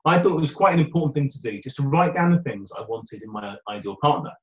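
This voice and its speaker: British man, loud voice, chatty dating coach, roomy echo, dull lo-fi sound. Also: mid-range voice, animated, fast talker. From United Kingdom. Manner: self-described loud voice, upbeat and chatty. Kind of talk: conversational interview answers. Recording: roomy, dull remote audio.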